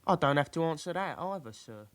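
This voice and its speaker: An actor playing a nervous, evasive young lad in a radio drama, guarded and deferential under questioning. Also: male voice, on the high side, expressive, fairly quick, a bit bright. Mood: guarded, stubborn. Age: young adult. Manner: guarded, deferential, repeating "sir".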